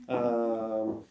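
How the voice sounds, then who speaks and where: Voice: medium pitch, background noise. A podcast interviewer asking questions in a casual, conversational way.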